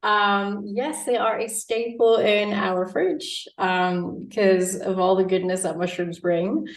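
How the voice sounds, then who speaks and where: A woman's voice: medium pitch, steady tone, takes it slowly, rough recording. A woman from Australia, a guest dietitian in casual interview chat, bubbly and chatty with frequent laughs.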